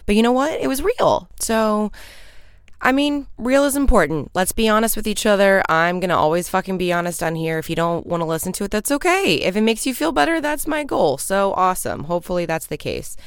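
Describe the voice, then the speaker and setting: A woman in her thirties hosting her own podcast, chatting casually and upbeat with frequent ums and casual swearing, her morning voice sitting low. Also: lively intonation, fairly quick, clean tone, dry recording, clean studio sound. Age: 30s. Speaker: podcast host. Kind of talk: casual solo podcast update.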